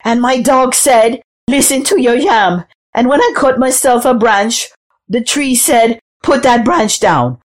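A woman voicing a frightened, excitable male farmer in a folk tale, agitated and breathless. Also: on the high side, moderate pace, pitched above the performer's own voice, natural voice.

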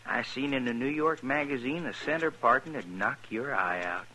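An actor playing a chatty, excitable small-town Western barber in a radio drama. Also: male voice, medium pitch, normal speed, natural voice. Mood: chatty, obliging. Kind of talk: 1950s radio western acting. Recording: noisy old radio recording.

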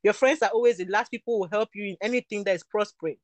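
A woman's voice: medium pitch, racing, no room sound. A young woman from Cameroon, a beauty entrepreneur, talking candidly in an interview, emphatic and repeating her points. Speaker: guest, beauty product entrepreneur. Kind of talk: candid casual interview talk.

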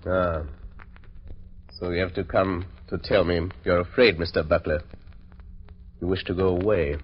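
Suave, menacing villainous doctor. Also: male voice, deep voice, fairly even intonation, a little slow, slightly rough. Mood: cold, mocking. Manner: formal, silky, mocking. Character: suave, menacing male doctor, sabotage ringleader. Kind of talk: 1940s radio drama acting. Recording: muffled, hissy old radio recording.

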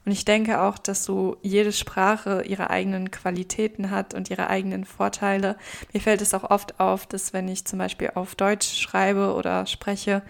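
A woman's voice: mid-range voice, monotone, normal speed, vocal fry. A young woman, raised bilingual in German and Russian, co-hosting a podcast in a thoughtful, casual way with many hesitant fillers. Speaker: podcast co-host. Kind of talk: casual conversation.

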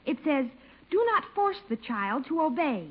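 A woman's voice: sits high, very animated, moderate pace, phone-quality sound. A woman acting an eager youngster in a 1950s radio comedy, on an old broadcast recording. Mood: earnest, persuasive.

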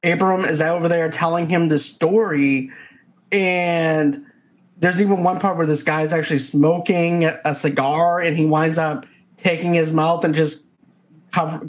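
A man co-hosting a movie review podcast, chatting casually and eagerly retelling scenes from the film. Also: a little high. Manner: animated scene-by-scene retelling, quotes lines from the film.

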